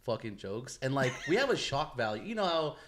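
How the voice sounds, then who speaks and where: A man's voice: medium pitch, sing-song, brisk, noisy background. A man co-hosting a podcast, chatting casually and jokingly with friends, with plenty of slang and swearing. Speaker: podcast co-host. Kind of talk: casual banter between friends.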